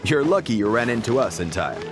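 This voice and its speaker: A woman's voice: rumbling low, sing-song, fairly quick, loud music behind the voice. A woman playing a chatty, deferential and ingratiating minor schemer in an anime game.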